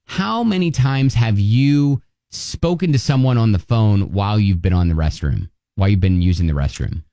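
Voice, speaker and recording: Animated man telling comic stories. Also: on the low side, moderate pace, no echo, dull audio. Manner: animated, acts out his own thoughts in dialogue. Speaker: radio show co-host. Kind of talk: casual chat, comic personal storytelling.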